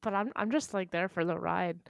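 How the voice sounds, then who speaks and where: A woman's voice: on the low side, brisk, creaky, no room sound, clean studio sound. A woman on a podcast panel chatting casually about books, hesitant and informal as she thinks aloud. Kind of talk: casual chat, book discussion.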